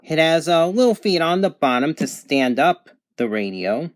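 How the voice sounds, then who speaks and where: A man giving an unscripted product demonstration in a halting, matter-of-fact way. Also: fairly high pitch, big pitch swings, no room sound, studio quality. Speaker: product reviewer.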